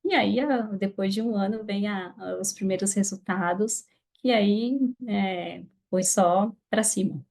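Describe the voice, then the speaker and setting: A woman's voice: medium pitch, unhurried, creaky, a little mellow. A woman with a Brazilian Portuguese accent, a podcast guest speaking earnestly and reflectively, with hesitant restarts. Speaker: guest, affiliate marketer. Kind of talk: casual podcast interview.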